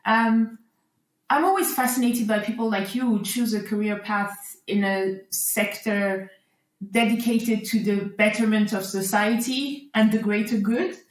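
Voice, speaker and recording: A woman hosting a podcast, giving a polished read-out introduction and then asking her guest questions in a clear, articulate way. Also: medium pitch, a little slow, echoey room, low-quality recording. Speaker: podcast host. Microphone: remote recording.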